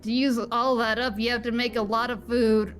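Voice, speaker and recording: A woman's voice: a little high, little pitch movement, moderate pace, faint music behind, close to an everyday voice. A woman playing a young food worker in casual improvised game role-play, speaking in a plain, matter-of-fact way. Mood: weary venting.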